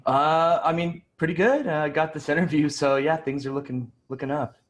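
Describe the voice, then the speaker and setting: A man playing a polite, slightly uneasy job applicant in improvised sketch comedy, speaking haltingly. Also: a little high, close to an everyday voice. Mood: eager, polite. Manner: hesitant, halting.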